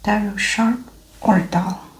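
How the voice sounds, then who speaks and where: A woman speaking in a soft, breathy near-whisper, gently explaining a medical exam in an ASMR roleplay. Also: mid-range voice, fairly even intonation, takes it slowly, echoey room. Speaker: ASMR performer playing a medical examiner.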